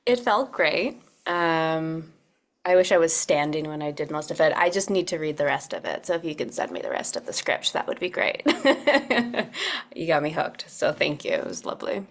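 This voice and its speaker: A woman's voice: low voice, very animated, moderate pace. A woman actor from a table read, chatting casually about how it went. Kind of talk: casual chat.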